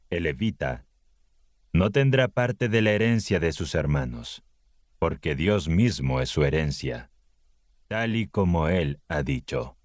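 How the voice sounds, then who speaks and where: A man reading scripture aloud in a solemn, measured way, as narrator of a Bible audio series. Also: deep voice, dry recording, clean studio sound.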